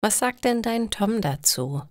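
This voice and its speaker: A caring middle-aged mother, voiced by a woman narrator, gentle and practical. Her storybook lines are slow and encouraging, recorded dry and close. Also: mid-range voice, lively intonation, no echo, pitched above the performer's own voice, natural voice. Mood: reassuring. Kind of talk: acted dialogue in a slow learner's audio story.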